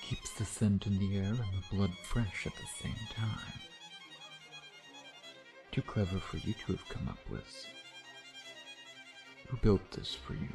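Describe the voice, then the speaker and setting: A man's voice: lowish voice, huge pitch swings, a little slow, pauses often, music in the background, exaggerated voice. A man playing a suave, predatory vampire in an intimate ASMR roleplay, taunting in a sardonic, flirtatious way with murmured asides. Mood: mocking, flirtatious. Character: suave, teasing, predatory male vampire, playfully menacing.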